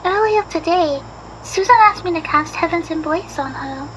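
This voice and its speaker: Very high, hesitant teenage girl played by a woman. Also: normal speed, a little hiss or hum, rough recording. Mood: nervous, remorseful. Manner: hesitant, apologetic. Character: timid, guilt-ridden, sweet teenage magical girl. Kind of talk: audio drama acting.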